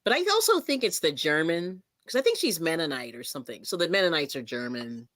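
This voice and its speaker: A woman's voice: sits low, sing-song, a little fast, a bit bright, no room sound, clean studio sound. A chatty woman from the Pittsburgh area, hosting a livestream and talking casually with frequent laughs. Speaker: livestream host.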